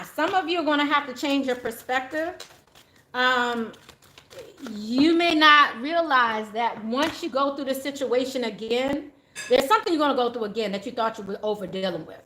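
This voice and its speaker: Woman doing a chatty tarot reading, kinda high voice, roomy echo. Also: a little hiss or hum. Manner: chatty, laughs often, animated. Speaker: tarot reader. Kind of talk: casual spiritual reading to viewers.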